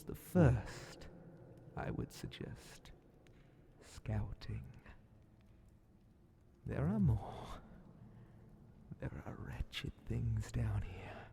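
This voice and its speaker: A man voicing a scheming demon Grand Vizier in a whispered ASMR fantasy roleplay, sly, menacing and condescending, with breathy, theatrical, drawn-out phrasing. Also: warm tone, exaggerated voice. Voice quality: breathy whispering mixed with low gravelly creak.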